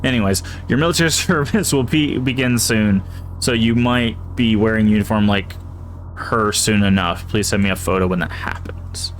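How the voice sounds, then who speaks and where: A man's voice: fairly low pitch, normal speed, faint music behind. Man thinking aloud over gameplay. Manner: thinks aloud, reads in-game items and notes. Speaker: streamer. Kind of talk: casual gameplay commentary.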